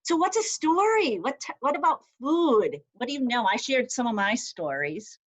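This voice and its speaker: A woman's voice: high-pitched, very animated, normal speed. A woman leading a video-call group discussion, encouraging people and asking lots of questions. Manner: encouraging, asks many questions. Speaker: workshop facilitator.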